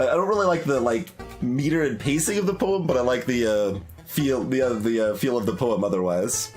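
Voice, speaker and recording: A man giving casual, analytical game commentary and reading poems aloud, hesitating as he thinks things through. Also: mid-range voice. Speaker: video game streamer doing a blind playthrough. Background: soft plucked guitar music.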